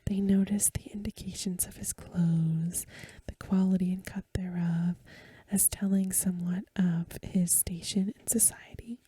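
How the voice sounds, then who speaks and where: A woman softly whispering a story for ASMR in a breathy voice, with gentle, careful phrasing, close to the microphone. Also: mid-range voice, dry recording. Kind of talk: soft-spoken ASMR story reading.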